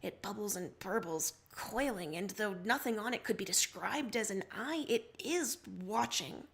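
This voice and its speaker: A woman reading a story aloud in an expressive, theatrical way, as a dramatic narrator. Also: mid-range voice, unhurried, vocal fry. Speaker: livestream story reader.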